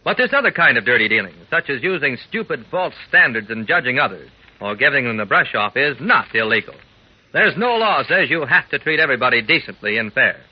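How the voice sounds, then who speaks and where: A dull vintage radio recording of a male announcer addressing young listeners. He is earnest and folksy, with a husky, very animated delivery. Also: medium pitch, brisk. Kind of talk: 1940s radio scripted talk. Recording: muffled old radio recording.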